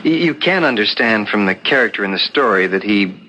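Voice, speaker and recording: A man playing an earnest writing teacher and amateur sleuth in a 1970s radio drama, polite, halting and eager. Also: on the low side, lively intonation, bright, forceful tone. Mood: tentative, earnest. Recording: noisy 1970s radio broadcast recording.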